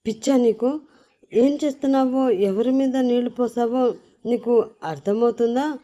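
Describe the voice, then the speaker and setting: A woman's voice: mid-range voice, lively intonation, slow. A woman voicing a nervous male office worker who scolds a newcomer in a raised, urgent tone. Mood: angry.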